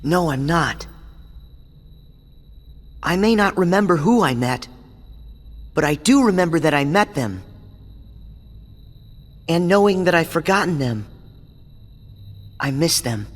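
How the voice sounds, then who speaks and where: A kind, stubborn teenage hero in a game cutscene. His voice sits high and swings widely, the lines delivered slowly and with quiet resolve. A long reverb and a soft music bed surround him. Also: sing-song, exaggerated voice. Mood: resolute. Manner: earnest, resolute, quietly pained. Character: earnest spiky-haired teenage boy hero, stubborn and kind.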